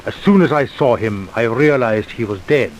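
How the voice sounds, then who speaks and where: A man's voice: medium pitch, normal speed, close to an everyday voice, rough recording. A man playing a doctor under questioning in an old radio drama, answering defensively. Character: nervous male doctor suspect, defensive. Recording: hissy old radio recording.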